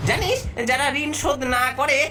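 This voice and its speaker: A woman's voice: high voice, lively intonation, normal speed. A woman voicing a greedy, scheming male ghost boss in a cartoon, with a domineering, scolding and gloating manner. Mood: scolding, bossy. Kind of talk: cartoon voice acting.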